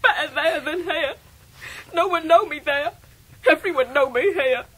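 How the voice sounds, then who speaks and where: A woman's voice: high-pitched, a little slow, a bit bright, poor audio. An actress playing a desperate, outcast young working-class woman, tearful and pleading, in a radio drama. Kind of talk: 1990s radio drama acting.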